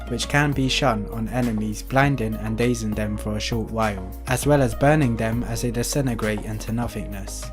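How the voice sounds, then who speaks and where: A man hosting a gaming video, reading scripted news and update details as a voice-over. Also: mid-range voice. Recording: music under the voice. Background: background music.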